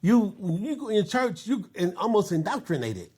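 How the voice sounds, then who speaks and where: A man's voice: high-pitched, very animated, moderate pace, a little mellow, breathy edge. A man in his fifties hosting a casual podcast, telling stories with frequent laughs and lots of "you know". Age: 50s. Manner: storytelling, laughs often, says "you know" a lot. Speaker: podcast host.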